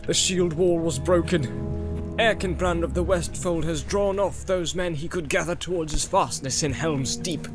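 A man's voice: fairly high pitch, measured pace, pitched above the performer's own voice, close to an everyday voice. A man voicing a weary, battle-worn rider in a fantasy audiobook, urgent and grim. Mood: grim, desperate. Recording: loud music behind. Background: loud music.

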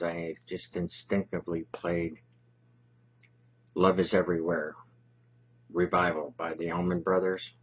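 A man's voice: low-pitched, breathy edge, highs cut off. An older man, a DJ, recalling a moving moment in a solemn, reflective way into a studio mic.

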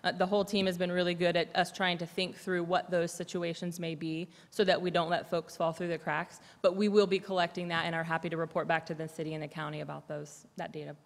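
A woman's voice: fairly low pitch, level tone, fast, few pauses. A woman testifying before a city council, explaining her program earnestly with frequent fillers into a desk microphone. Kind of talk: answering council questions. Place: large city council chamber. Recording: long reverb of a large chamber.

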